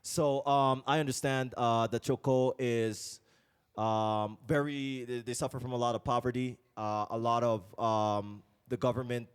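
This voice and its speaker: A man's voice: medium pitch, fairly even intonation, a little slow, clear, resonant tone. A man from Guyana hosting a casual podcast interview, thinking aloud with many fillers and restarts. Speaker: podcast host. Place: lives in Colombia.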